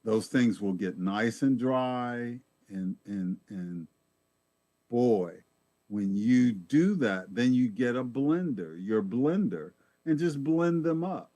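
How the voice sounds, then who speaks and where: A man's voice: medium pitch, expressive, slow pace, warm tone, no room sound. A man giving an informal health talk as guest presenter, folksy and explanatory, often repeating words as he thinks.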